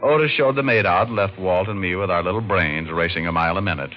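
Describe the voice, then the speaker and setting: A man playing a sharp, wisecracking private detective in casual, slangy old-time radio style. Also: sits low, fast talker, faint music behind, close to an everyday voice. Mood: wry narration. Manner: casual, slangy, reasoning aloud. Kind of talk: 1940s-50s radio detective acting and narration. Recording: muffled, noisy old radio recording.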